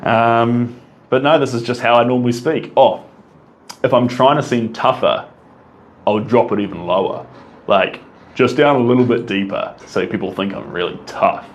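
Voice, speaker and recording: A man's voice: mid-range voice, normal speed. A young man with a New Zealand accent, an artist casually answering viewer questions, rambling enthusiastically with frequent ums and restarts. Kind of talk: casual Q&A monologue.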